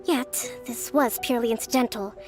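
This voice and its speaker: A woman acting as a gentle but shrewd young female leader in a video game. Her high voice is measured, polite and diplomatic, with faint music behind it. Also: fairly even intonation, lightly acted. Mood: calm, diplomatic.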